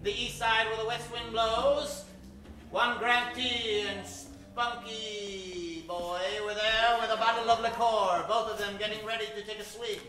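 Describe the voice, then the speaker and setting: A man's voice: high voice, little pitch movement, a little slow, sharp tone. A man playing a theatrical, melodramatic announcer and narrator in a 1940s-style radio serial. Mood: suspenseful. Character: excitable old-time radio announcer narrator. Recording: very echoey stage, distant mic, music behind.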